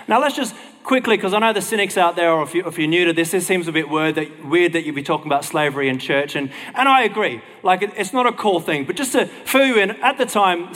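An Australian man preaches in a fairly high voice, ringing through a hall with long reverb. He keeps it informal and chatty, like someone talking a group through a story. His delivery is wry and self-deprecating. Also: a bit bright. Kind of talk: casual conversational sermon to a congregation.

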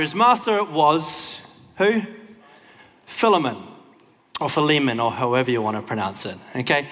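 Higher-voiced Australian preacher in a reverberant hall. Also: male voice, bright, forceful tone. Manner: informal, self-deprecating, wry. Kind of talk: casual conversational sermon to a congregation.

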